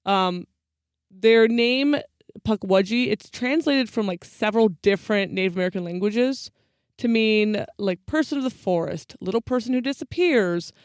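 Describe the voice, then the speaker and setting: A woman's voice: medium pitch, normal speed, clear, resonant tone, no room sound, clean studio sound. A woman co-hosting a podcast, explaining folklore in a casual, chatty way.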